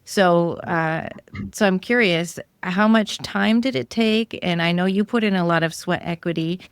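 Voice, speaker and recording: A woman's voice: on the low side, unhurried, clear, resonant tone, dry recording. A woman hosting a podcast, interviewing in a casual, conversational style. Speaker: podcast host.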